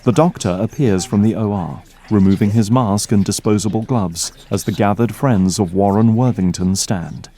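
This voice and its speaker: A man's voice: on the low side, moderate pace, few pauses, dark tone. A man delivering dramatic scripted narration as the narrator of an audio drama.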